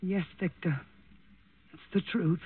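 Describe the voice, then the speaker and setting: Proud countess, dry vintage radio. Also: female voice, on the low side, steady tone, slow, breathy edge, no echo, heavily acted. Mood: bitter contempt. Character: proud, bitter countess, unhappy wife, defiant patriot. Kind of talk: 1940s radio drama acting. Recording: narrow-band vintage radio recording, dry.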